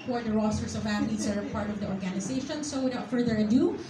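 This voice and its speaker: A woman's voice: on the high side, fast, recorded from a distance, background noise. A woman emceeing a press conference, giving polite, lightly scripted introductions. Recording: echoey arena from afar, loud ambience.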